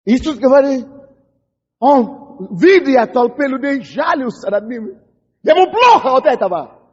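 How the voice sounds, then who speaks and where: A male pastor whose first language is English, preaching a fiery sermon with impassioned, shouted prayers and frequent stumbles over words. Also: extremely high pitch, animated, measured pace, breathy edge. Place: church hall. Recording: dull recording in a large echoing hall.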